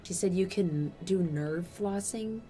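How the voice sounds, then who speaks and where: A woman's voice: fairly low pitch, measured pace, warm tone, soft background music. A woman in her thirties co-hosting a gaming video, chatting in a bubbly, talkative way. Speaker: co-host, host's wife. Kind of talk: casual chat. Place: home recording room.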